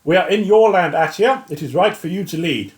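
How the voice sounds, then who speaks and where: Narrator playing an old detective, grave, commanding, raised pitch. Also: animated, a little fast, natural voice. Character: older male detective, grave and authoritative.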